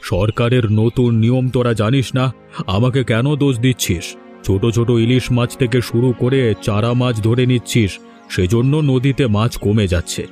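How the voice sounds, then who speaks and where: A man's voice: medium pitch, steady tone, moderate pace, darkish tone, natural voice. A man voicing an older village uncle and headman in a cartoon, authoritative and admonishing, with a West Bengal Bengali accent. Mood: stern. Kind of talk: cartoon voice acting. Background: music.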